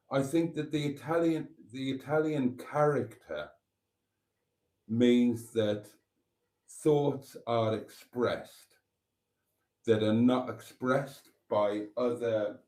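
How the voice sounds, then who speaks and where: A man's voice: medium pitch, dark tone. A Welsh man, a native Welsh speaker, hosting a live stream and musing in a meandering way, with many fillers and restarts. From Wales. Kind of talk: casual live stream chat.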